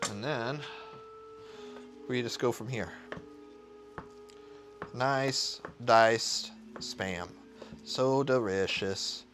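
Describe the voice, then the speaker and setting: A man's voice: mid-range voice, extremely slow, pauses often, music in the background. A man who is a chef, cooking on a live stream and chatting with viewers in a crude, joking, profane way. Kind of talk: casual livestream cooking chat.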